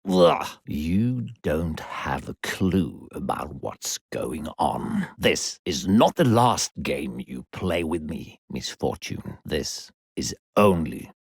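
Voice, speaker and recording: A sinister video game demon narrator speaks in a deep, husky voice. The delivery swoops theatrically from sugary coaxing to controlling menace, with gravel in the tone and a close, dry sound. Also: male voice, big pitch swings, slow pace, hardly any pauses, no echo, heavily acted. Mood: threatening, bitter. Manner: falsely sweet, theatrical, condescending, controlling.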